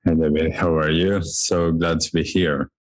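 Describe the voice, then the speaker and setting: A man from Argentina, a podcast guest, telling his story in a calm, measured and thoughtful way. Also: very deep voice, dry recording. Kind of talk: reflective conversational storytelling.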